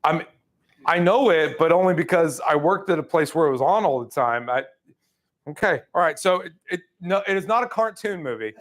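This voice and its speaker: A man's voice: on the high side, normal speed. Man, 30s, sales trainer, casual chatty delivery to a room. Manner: lively, casual, chatty, many fillers. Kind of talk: live training talk.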